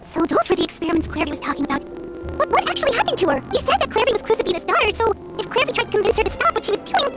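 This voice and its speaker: A tiny childlike fairy companion for a video game. The voice is extremely high and squeaky, swooping with innocent curiosity and eager questions, with loud music underneath. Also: female voice, very animated, very fast. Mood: puzzled, concerned. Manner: wide-eyed, eager questioning.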